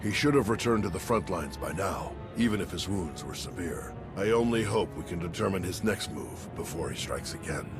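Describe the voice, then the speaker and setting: Low, solemn warrior-monk master. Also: male voice, animated. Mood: solemn concern. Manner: measured, solemn, formal. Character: grave, concerned Jedi master. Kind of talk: video game dramatic acting. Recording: music under the voice.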